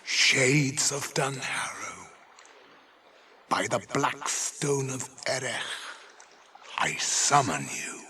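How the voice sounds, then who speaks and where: A man's voice: medium pitch, expressive, extremely slow, pauses often. A male actor playing a solemn, commanding king who addresses spirits of the dead in a declamatory 1980s radio drama style. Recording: clear background noise, radio drama ambience.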